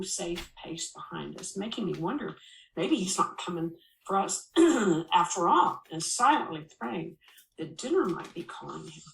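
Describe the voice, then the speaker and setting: A woman reading a first-person memoir aloud as an expressive storyteller, with a dramatic, wry delivery. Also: fairly low pitch, gravelly, recorded from a distance, a little hiss or hum. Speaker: narrator reading a memoir chapter. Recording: roomy, echoing voice recording.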